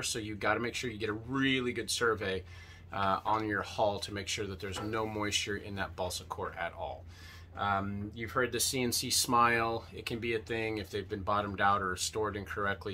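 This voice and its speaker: A man in his fifties hosting a casual walkthrough tour of a sailboat, talking in a relaxed, enthusiastic way with lots of fillers, recorded on a handheld camera inside a small cabin. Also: lowish voice, a little hiss or hum. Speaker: boat tour host. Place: small boat cabin, indoors. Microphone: handheld camera mic at arm's length.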